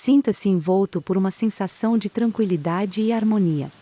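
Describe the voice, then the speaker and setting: A woman with a Brazilian accent calmly guides a relaxation meditation, reading in a soothing, unhurried manner with pauses between phrases. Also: medium pitch. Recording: steady rain sound behind the voice. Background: soft rain.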